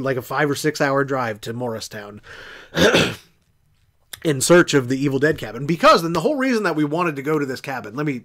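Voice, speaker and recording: An American man with a mid-pitched voice hosts a casual podcast. He tells stories in a loose, rambling way, doubling back and losing his thread, with some offhand swearing. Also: fairly bright tone. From southeastern Indiana, United States. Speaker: podcast host. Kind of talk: casual storytelling on a horror podcast.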